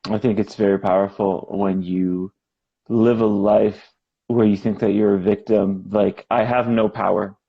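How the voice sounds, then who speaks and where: A man hosting an interview podcast, chatting casually with plenty of fillers and quick affirmations. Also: lowish voice, level tone, creaky, slightly rough, dull audio. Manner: conversational, loose, with many fillers and quick affirmations. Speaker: podcast host.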